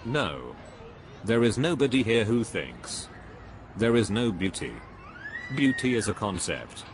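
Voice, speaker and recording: A man's voice: lowish voice, sing-song, a little slow, a little hiss or hum. A man playing a pompous, dogmatic spiritual guru in a scripted cartoon dialogue, preachy and dismissive. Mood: dogmatic, contradicting.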